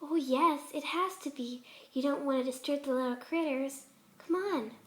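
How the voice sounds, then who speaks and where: A woman voicing a shy, gentle young female pegasus pony, bashful, hesitant and polite, in a cartoon fan story. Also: high voice, normal speed. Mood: reassuring, pleased.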